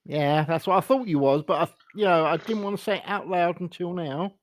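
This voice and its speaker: A man's voice: high voice, a little fast, no echo. A male co-host in casual talk show banter, agreeable and chiming in with short replies.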